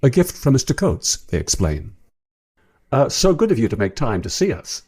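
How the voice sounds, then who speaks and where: Lively, husky-voiced male interviewer-narrator. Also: medium pitch, normal speed. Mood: polite, narrating. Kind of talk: radio play narration and interviewing.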